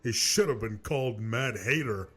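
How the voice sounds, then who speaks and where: A man playing a vain, cowardly, excitable cartoon character with a theatrical, whiny and boastful delivery. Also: mid-range voice, normal speed, husky, faint music behind. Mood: aggrieved. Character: vain, cowardly, excitable man who insults his rival. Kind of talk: comedic cartoon voice acting.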